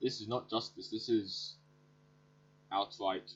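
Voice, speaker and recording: A man's voice: fairly low pitch, steady tone, slow, a bit bright, husky, lo-fi sound. A man giving casual gameplay commentary as a gaming YouTuber. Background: game music.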